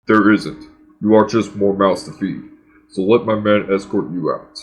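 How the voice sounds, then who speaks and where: A man playing a guarded, authoritative wasteland governor in a game cutscene, with a measured, commanding, cryptic manner. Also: fairly low pitch, lightly acted. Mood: firm, bargaining. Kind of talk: scripted game cutscene dialogue.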